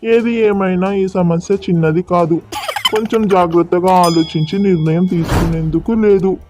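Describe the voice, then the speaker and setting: A man's voice: high voice, steady tone, moderate pace, natural voice. A man acting a stubborn guru and a gullible king in formal, declamatory children's folk-tale style. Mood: scheming. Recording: muffled sound with faint music.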